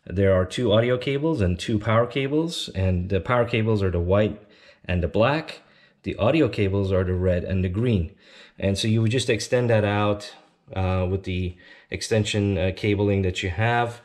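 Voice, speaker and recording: A man's voice: on the low side, normal speed, a little mellow. A man giving an informal step-by-step product demonstration, explaining an installation plainly as a company representative. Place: indoors at a tabletop demonstration bench.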